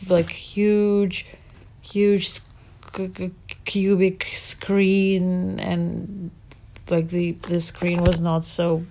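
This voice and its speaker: Woman, forties, slow and unhurried, searching for words, casual interview. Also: fairly low pitch, completely flat delivery, dark tone. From Russia. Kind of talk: casual childhood memories.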